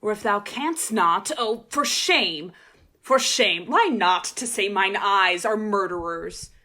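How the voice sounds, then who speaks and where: A woman's voice: mid-range voice, animated, slow, bright, forceful tone. A woman acting a proud, disdainful young shepherdess with haughty theatrical delivery in a Shakespearean radio play. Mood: scornful.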